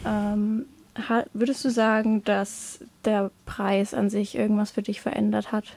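A woman's voice: mid-range voice, completely flat delivery, a little slow, gravelly, darkish tone. A woman interviewing a guest, asking friendly, prompting questions.

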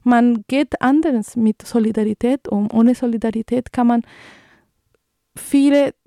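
Colombian-accented female radio host, thirties, higher voice, flat delivery, hesitant with fillers, mellow tone, dry close sound. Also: a little slow, no echo. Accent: Colombian Spanish. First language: Spanish. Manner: hesitant, many fillers and restarts. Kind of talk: community radio commentary and news reading.

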